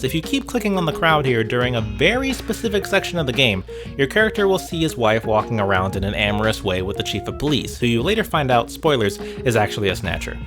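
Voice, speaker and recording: A man narrating a scripted video essay in a wry, conversational way with casual asides, sometimes casually sweary. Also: mid-range voice. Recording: background music under narration. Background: music.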